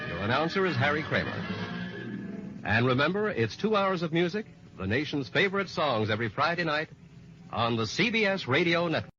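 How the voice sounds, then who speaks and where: A man with an American accent announcing formally and emphatically in 1950s network radio style. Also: a little high. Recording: muffled vintage radio broadcast.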